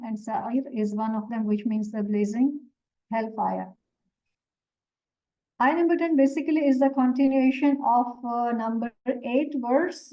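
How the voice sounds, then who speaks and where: Urdu-native woman teacher, slow measured explanation, muffled lo-fi audio. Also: fairly high pitch. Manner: measured explaining with frequent fillers. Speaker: Quran teacher. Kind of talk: explaining verse meanings in a lesson.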